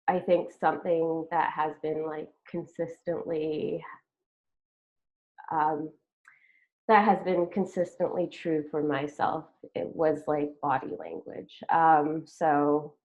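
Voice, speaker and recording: A woman from Sudan, Arabic her first language, talks in a deep, unhurried voice. She pauses often and fills gaps with hesitant sounds. Her audio is thin and phone-like, as over a video call. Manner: hesitant, many pauses and fillers. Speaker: artist guest. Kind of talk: casual panel conversation.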